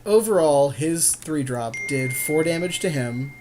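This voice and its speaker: A man's voice: fairly high pitch, lively intonation, unhurried, a little mellow, slight background noise. A man chatting informally and agreeably as he co-hosts commentary on a game, using casual slang. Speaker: podcast co-host commentating a card game.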